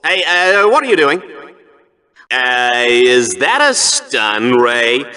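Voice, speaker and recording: A showy male arena-show commentator for a video game. His voice is sharp and forceful, with very animated intonation. He is vain and sarcastic, selling every line like a theatrical sportscaster. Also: medium pitch, slow, heavily acted. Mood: nervous. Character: flamboyant, smug, mocking male deathmatch show announcer.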